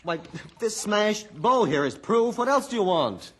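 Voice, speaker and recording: An actor playing an excitable, flustered fisherman in an old radio adventure drama, stammering and earnest, on a noisy old recording. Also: high voice, a little slow, full character voice. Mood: indignant.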